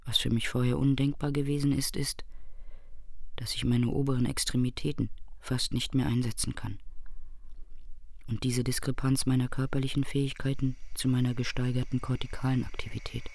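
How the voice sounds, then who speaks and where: An actor playing an ailing, weary brain researcher who speaks in deliberate, clinical, formal language in a radio drama. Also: male voice, medium pitch, little pitch movement, creaky, a little mellow, no room sound. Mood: clinical despair. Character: ailing male brain researcher, cerebral, helpless, weary, dying.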